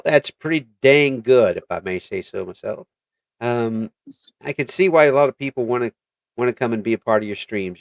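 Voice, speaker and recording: Male interview host, middle pitch, chatty and quick to laugh, dry close recording. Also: dry recording, lo-fi sound. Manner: chatty, laughs often, trails off and restarts. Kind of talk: casual interview chat.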